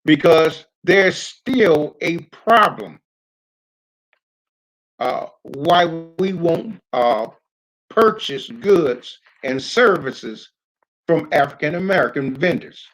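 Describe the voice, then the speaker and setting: An older man giving an unscripted public address, reminiscing in a halting, deliberate way with frequent hesitations. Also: on the high side, lively intonation, fairly bright tone, poor audio. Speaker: community speaker recounting his experience as a pioneer.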